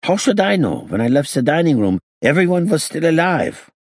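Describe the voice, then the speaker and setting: An irritable, surly gangster from a crime audiobook, as a male narrator acts him out. His voice is pushed up high and swings around as he mutters and protests, with a rough husky edge. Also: very animated, normal speed, a little mellow, no room sound, clean studio sound. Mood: indignant. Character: Hincus, surly, agitated gangster just freed from ropes.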